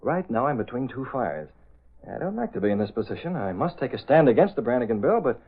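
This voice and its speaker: A man's voice: mid-range voice, sing-song, quick pace, breathy edge. A man acting a resolute, calculating publisher and secret vigilante in an old radio drama, speaking in emphatic declarations. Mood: confiding, determined. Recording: muffled narrow-band old radio recording.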